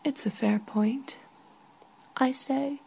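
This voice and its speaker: Female author-narrator for literary fiction, breathy near-whisper with vocal fry, unhurried delivery. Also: sits high, steady tone, warm tone, rough recording. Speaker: author reading own short story. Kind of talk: literary first-person story narration.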